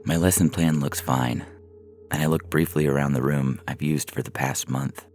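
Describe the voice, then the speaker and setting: A man reading a first-person short story as a narrator, in a measured, deliberate way, from a script. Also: extremely low pitch, fairly even intonation, darkish tone. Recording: music bed under the voice. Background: synthesizer music.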